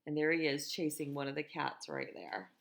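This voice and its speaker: Woman, American accent, slightly low pitch, noticeable vocal fry, gentle expressive storytime reading, teacherly asides to children. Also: normal speed. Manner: gentle, expressive, asks listeners questions. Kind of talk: children's story read-aloud with conversational asides.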